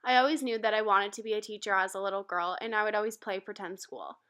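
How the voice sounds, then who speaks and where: A young woman from Rhode Island, a university student, reading a prepared school presentation aloud in a steady, careful way. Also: medium pitch, fast talker. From Rhode Island, USA. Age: young adult.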